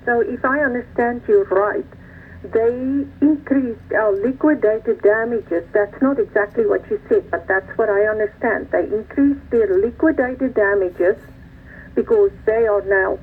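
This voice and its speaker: Creaky-voiced woman on a tinny phone line. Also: medium pitch, unhurried, a little hiss or hum. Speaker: transport provider on a conference call. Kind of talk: questions and comments on a conference call. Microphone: telephone conference line.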